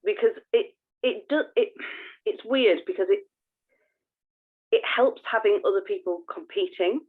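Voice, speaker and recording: A woman's voice: medium pitch, unhurried, husky. Woman cohosting a podcast, tinny phone-ish audio, casual, stop-start but emphatic when explaining. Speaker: podcast co-host. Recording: thin phone-like call audio.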